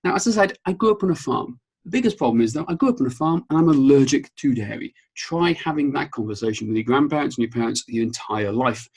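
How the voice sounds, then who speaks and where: A man's voice: mid-range voice, lively intonation, fast talker, a little mellow, slightly rough. A Welsh man whose first language is Welsh, a strength coach giving an upbeat webinar presentation and often checking in with "okay?". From Wales.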